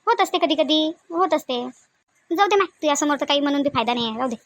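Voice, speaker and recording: Woman doing a high voiced village family lady for a cartoon, vidarbha marathi accent, talks fast, expressive and homely. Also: no room sound. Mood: gossipy. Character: women of a village family: shy bride, chatty sisters-in-law, scolding aunt. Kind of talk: homemade cartoon family drama voice acting.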